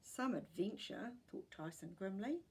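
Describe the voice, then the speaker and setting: A woman reading a story aloud as an expressive, scripted narrator. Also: medium pitch, measured pace, husky, low-quality recording.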